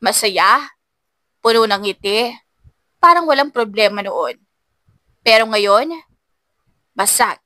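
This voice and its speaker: A woman's voice: a little high, expressive, takes it slowly, pauses often, pushed and bright, dry recording, studio quality. A woman narrating a scripted audiobook story in an emotive, dramatic manner.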